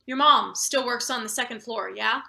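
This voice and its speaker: A woman's voice: a little high, normal speed, creaky, sharp tone, exaggerated voice. A woman playing a coldly manipulative, impatient boss in a satirical play reading, with a pointed, insinuating delivery. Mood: veiled menace. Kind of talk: table read of a satirical stage play.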